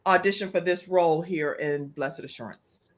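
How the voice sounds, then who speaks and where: A woman hosting a live interview show, chatting casually and telling stories in an animated way. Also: lowish voice, normal speed. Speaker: talk show host.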